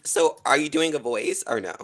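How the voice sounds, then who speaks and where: A young man hosting a podcast interview, asking questions in a relaxed, casual way. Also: medium pitch, normal speed. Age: young adult. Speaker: podcast host.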